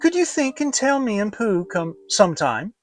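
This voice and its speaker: An excitable, affectionate little boy from a storybook, acted by a man. His pitch is lifted high and he talks with curious, childlike eagerness. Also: moderate pace, faint music behind.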